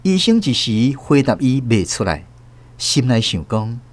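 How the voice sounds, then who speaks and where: A lively story voice. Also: male voice, medium pitch, measured pace. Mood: storytelling. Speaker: radio drama narrator. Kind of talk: dramatized narration.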